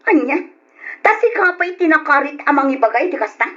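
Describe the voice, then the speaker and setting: A woman's voice: sits high, lively intonation, a little fast, sharp tone, dull audio. A woman with an Ilocano accent playing a poor, worn-down, pleading mother in an old radio drama. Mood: exasperated.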